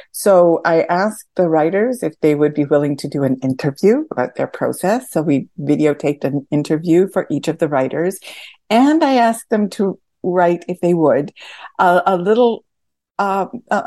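A woman giving a reflective interview as a series creator, thoughtful and halting as she searches for words. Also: low-pitched, warm tone, no room sound. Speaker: theatre podcast series creator.

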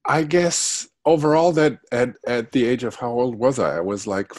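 A man's voice: mid-range voice, normal speed, darkish tone, no echo. Hesitant man, dry sound. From Germany. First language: Spanish. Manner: hesitant, with frequent restarts. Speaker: guest. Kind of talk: reflective interview conversation.

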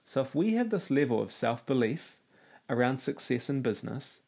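A man hosting a solo self-help podcast, talking in an earnest, motivational way while raspy and nasal from a cold. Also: medium pitch, big pitch swings, moderate pace, a little mellow. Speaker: podcast host.

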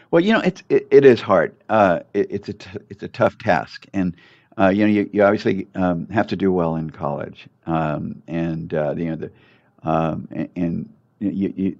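Older male doctor guest, gravelly low voice, halting and filler-heavy, muffled lo-fi recording. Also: a little mellow. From United States. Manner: halting, many fillers and restarts. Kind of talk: casual conversational interview. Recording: muffled lo-fi audio.

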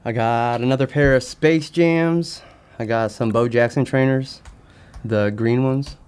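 A man's voice: mid-range voice, steady tone, a little slow, darkish tone, clean tone. A man chatting casually as a podcast guest, giving brief, understated answers. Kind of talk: casual chat.

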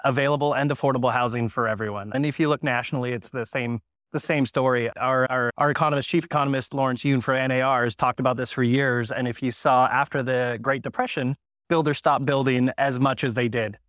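A man in real estate speaking on a conference panel, conversational, explaining with quick examples and tag questions. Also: mid-range voice, fairly bright tone, dry recording, clean studio sound. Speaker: realtor on a panel. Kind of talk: panel discussion answer.

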